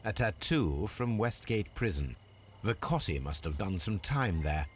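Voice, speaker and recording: An actor playing a shrewd, composed Victorian gentleman detective with an English accent, formal and measured, in a video game drama. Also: fairly low pitch, big pitch swings, slight background noise. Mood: pensive, observing.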